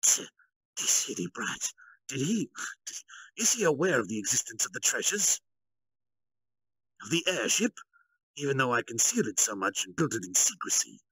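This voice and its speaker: A man's voice: mid-range voice, very animated, moderate pace, long pauses, bright, forceful tone, breathy edge, no echo, pitched above the performer's own voice, exaggerated voice, rough recording. A man voicing a scheming, self-important military commander in a grandiose, theatrical manner for a story reading. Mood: irritated, muttering.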